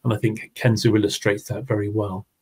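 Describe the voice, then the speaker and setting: A man's voice: lowish voice, flat delivery, a little fast, a little mellow, husky. A man presenting an online teaching seminar, talking his audience through his slides. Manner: explanatory, talks through slides. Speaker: webinar presenter.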